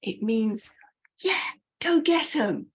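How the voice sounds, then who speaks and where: Breathy, animated British woman. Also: a little high, slow. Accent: British English. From United Kingdom. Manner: animated storyteller, self-deprecating asides. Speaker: message clarity coach. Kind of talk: coaching talk to camera with personal anecdotes.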